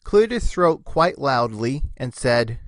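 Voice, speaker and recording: A woman giving an expressive, scripted narration of a story. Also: a bass voice, slow pace, dry recording.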